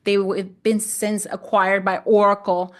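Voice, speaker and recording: This is a middle-aged woman from Honduras who grew up speaking Spanish. Her voice sits at an average pitch for a woman. She talks like an interview guest, conversationally, repeating words and rewording as she goes. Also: little pitch movement, moderate pace. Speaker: podcast guest, land investor.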